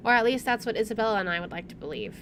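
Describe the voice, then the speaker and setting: Woman let's player reading game story out loud, wry and sweary, medium pitch. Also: lively intonation, rapid-fire, soft background music. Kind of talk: reading game narration aloud with casual commentary.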